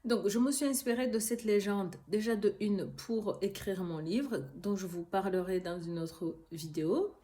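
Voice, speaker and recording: A woman's voice: medium pitch, unhurried, few pauses, mellow. Earnest woman vlogging. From Rwanda. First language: Kinyarwanda. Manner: earnest, hesitant with frequent fillers. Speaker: YouTube channel host. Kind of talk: casual talk to camera.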